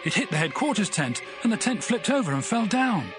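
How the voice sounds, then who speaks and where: An excited soldier of ancient times in an audio Bible drama. His fairly high voice moves animatedly in a vivid storytelling delivery, with music behind. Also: fairly quick. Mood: amazed, excited. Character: excited ancient enemy soldier recounting a dream.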